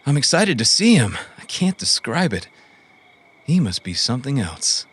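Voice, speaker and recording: A spaced-out eager naive man, husky, highish, really lively pitch, audio drama. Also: moderate pace. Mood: eager, excited. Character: dazed, eager, guileless man under another's influence.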